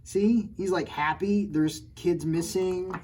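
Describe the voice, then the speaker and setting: Playful man reading to kids, mellow voice. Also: sits high, fairly even intonation, slow pace, a little hiss or hum. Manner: playful, jokey, mock-outraged, talking straight to children. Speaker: children's author reading his own book. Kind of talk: storytime read-aloud with playful asides to kids.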